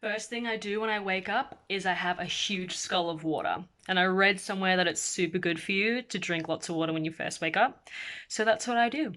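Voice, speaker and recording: A woman's voice: medium pitch, brisk, a bit bright. A young woman from Australia with a mixed Australian and American accent, a singer-songwriter chatting casually and upbeat while answering fan questions.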